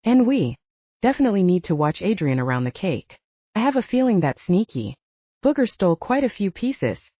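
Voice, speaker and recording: A woman narrating an audiobook, playing a gentle mother who speaks softly and confidingly to her husband about family. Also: mid-range voice, sing-song, moderate pace, no echo, dull audio. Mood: tired, fond. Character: gentle mother, affectionate wife talking over family matters.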